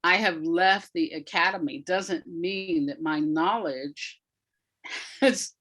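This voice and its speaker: Low-pitched woman, emphatic interview guest. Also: slow, fairly bright tone. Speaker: guest educator and writer. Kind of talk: conversational interview.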